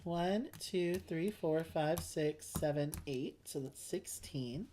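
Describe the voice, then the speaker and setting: A woman's voice: low voice, takes it slowly, mellow, slight background noise. A woman hosting a board game playthrough with casual commentary and a wry, bawdy sense of humour.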